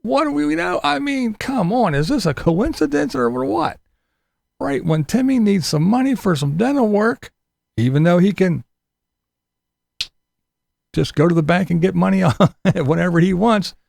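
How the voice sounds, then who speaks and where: Sarcastic video commentator with gravelly fry and big pitch swings, recorded close and dry. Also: expressive, moderate pace, pauses often, a little mellow, dry recording. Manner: sarcastic, mocking. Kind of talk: mocking video commentary about another vlogger.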